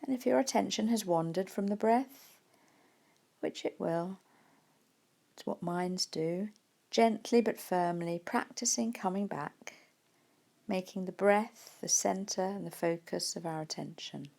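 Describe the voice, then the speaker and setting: A woman leading a guided mindfulness meditation in a gentle, unhurried manner with soft pauses. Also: medium pitch, gravelly. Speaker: meditation teacher.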